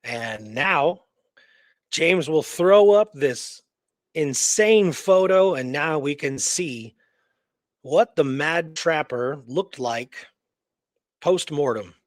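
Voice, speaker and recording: Male radio co-host, slightly high voice, informal rambling storyteller, close dry recording. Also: lively intonation, extremely slow, dry recording. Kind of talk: casual conversational storytelling and discussion.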